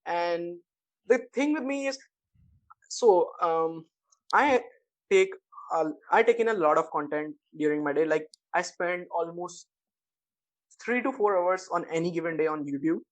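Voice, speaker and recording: A man's voice: high-pitched, measured pace, long pauses, rough recording. A man from India with an Indian English accent, a native Hindi speaker, hosting a podcast and asking questions in a casual, hesitant way. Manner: hesitant, lots of fillers and restarts, curious. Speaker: podcast host.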